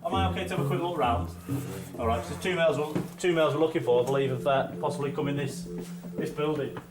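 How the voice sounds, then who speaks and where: A man's voice: on the high side, fast, echoey room. A man working as a police officer, questioning people on location.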